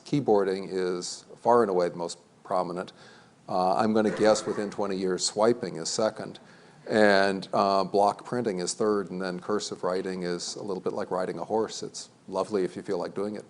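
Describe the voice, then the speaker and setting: A man moderating a panel discussion before a live audience, talking in a conversational, self-deprecating way. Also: on the low side. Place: event hall before an audience.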